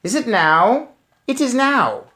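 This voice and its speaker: Man voicing imperious high-pitched shrieking witch. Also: big pitch swings, takes it slowly, pushed and bright, full character voice. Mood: gloating. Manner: shrieking, commanding, oddly inverted phrasing. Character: imperious, gloating Grand High Witch with foreign syntax.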